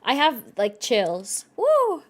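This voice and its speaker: A woman's voice: high-pitched, huge pitch swings, slow, slight background noise. A woman doing casual, informal gameplay commentary as a streamer, giggly and reacting aloud. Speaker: game streamer. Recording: game music behind the voice.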